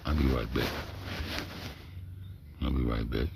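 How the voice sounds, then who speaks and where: Deep gravelly man, low and rough. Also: fairly even intonation, a little slow, very noisy. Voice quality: big deep voice. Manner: talks low, often drops to a near-whisper. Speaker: livestream host. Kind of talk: profane casual commentary while reading text aloud.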